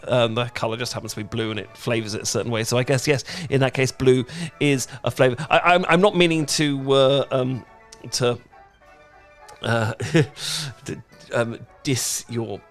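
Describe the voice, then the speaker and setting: A man's voice: medium pitch, lightly acted. Man playing a stammering, awkward British dork. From Britain. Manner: stammering, halting, rambling, apologetic. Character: awkward, flustered, dorky young British man on a first meeting. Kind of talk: intimate romantic ASMR audio roleplay.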